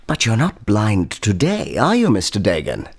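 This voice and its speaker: A man's voice: fairly low pitch, huge pitch swings, normal speed, slightly rough, full character voice. An actor playing a smug, domineering courtroom prosecutor in a radio satire, sarcastic and pompous as he badgers. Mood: badgering, sarcastic. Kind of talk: dramatic radio satire acting.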